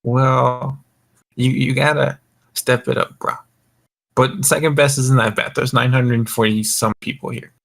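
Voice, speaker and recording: A man's voice: mid-range voice, level tone, unhurried, creaky, a bit bright. A young man hosting a gaming voice call, rambling casually in a laid-back way and swearing often. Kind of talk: casual group chat, rambling. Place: online voice call.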